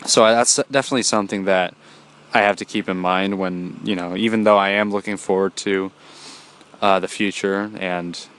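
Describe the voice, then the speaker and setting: A man's voice: low-pitched, fairly even intonation, normal speed, fairly bright tone, clean tone. A young man hosting a solo podcast, talking in a rambling casual way with many fillers and restarts, a bit congested from a cold. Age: young adult. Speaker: podcast host.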